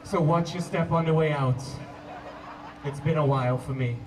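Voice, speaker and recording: A man with a Canadian accent performing stand-up comedy to a live audience, telling stories playfully with drawn-out emphasis and pauses. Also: on the high side, steady tone, a little mellow, reverberant. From Toronto, Canada. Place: live comedy show at a park venue. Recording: distant mic, hissy live-show camcorder sound.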